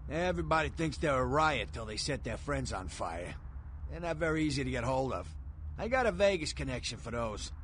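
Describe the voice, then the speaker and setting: A man's voice: medium pitch, a bit bright, a little hiss or hum. An actor playing a world-weary, streetwise male arms dealer in a video game, talking in a dry, matter-of-fact way.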